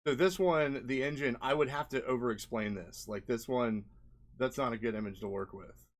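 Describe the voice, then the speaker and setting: A man's voice: mid-range voice, moderate pace. A man giving an unscripted, casual walkthrough as a presenter, rambling conversationally and often checking in with the listener. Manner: rambling, conversational, often seeks agreement with "right?" and "you know". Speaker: indie game developer, presenter.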